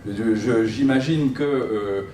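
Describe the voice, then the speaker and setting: A man answering as a planning expert at a seminar, explaining off the cuff with a hesitant, halting delivery. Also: medium pitch, darkish tone. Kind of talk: spontaneous public explanation. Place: seminar room, recorded from a distance. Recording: roomy, distant mic, faint noise.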